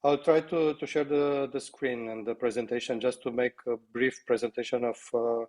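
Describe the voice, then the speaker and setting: A slightly hoarse man speaking on a video call as a company co-founder, opening a webinar presentation in a halting, modest way. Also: mid-range voice, fairly even intonation, darkish tone. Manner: halting, self-deprecating.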